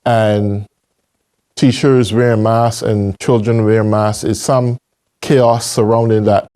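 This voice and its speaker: A man's voice: on the low side, little pitch movement, slow pace, warm tone. A man hosting a local interview show, asking questions and giving short affirmations. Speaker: talk show host.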